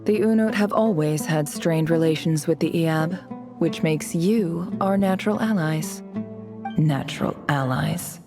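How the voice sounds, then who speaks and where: A woman playing a cold, cunning young female avenger in a video game, speaking in a smooth, measured, disdainful way. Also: deep voice, music in the background. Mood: cool, calculating.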